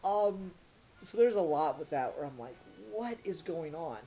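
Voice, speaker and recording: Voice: mid-range voice, expressive, a little slow, warm tone, soft background music. A podcast host giving a casual, critical review in an exasperated and emphatic way.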